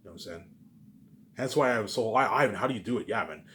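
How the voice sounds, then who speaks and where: A man's voice: on the low side, expressive, fast talker, fairly bright tone, a little hiss or hum. A man giving a rambling, repetitive personal monologue, restarting sentences, leaning on verbal tags and starting emphatically before trailing off into mutters. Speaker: vlogger.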